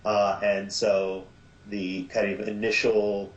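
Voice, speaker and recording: A man being interviewed as producer and director of an audio drama, talking in a halting way with many fillers and restarts. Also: on the low side, level tone. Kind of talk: casual podcast interview. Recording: echoey, dull lo-fi sound.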